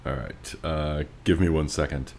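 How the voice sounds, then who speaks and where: A man's voice: extremely low pitch, fairly even intonation, unhurried, darkish tone. Dry, wry male podcast co-host. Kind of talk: casual comic book discussion with dramatic read-alouds.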